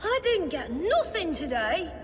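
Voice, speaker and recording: A woman's voice: very high voice, big pitch swings, measured pace, in a large hall, background noise, exaggerated voice. A woman playing a wistful little orphan street girl, childlike and dreamy, in a video game. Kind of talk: video game background acting.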